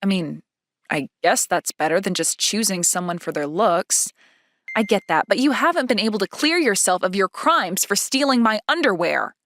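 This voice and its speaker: A woman's voice: mid-range voice, lively intonation, normal speed, a bit bright, slight background noise. A woman playing a haughty, blunt teenage schoolgirl in a manga dub, speaking in a demanding, self-assured way. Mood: cool, formal. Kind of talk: manga dub character dialogue.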